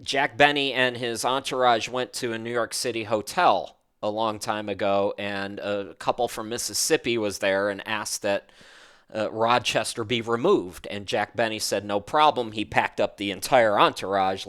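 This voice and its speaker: A man's voice: on the low side, fairly even intonation, moderate pace, a bit bright, clean tone. A man hosting a podcast, chatting casually and wandering from one thought to the next. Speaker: podcast host.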